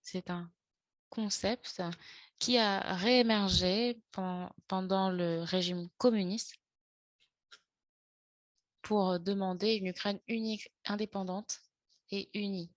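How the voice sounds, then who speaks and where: A woman presenting in a webinar over a video call, speaking haltingly with frequent fillers and self-corrections. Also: medium pitch, steady tone, no echo. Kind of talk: spoken lecture in a webinar.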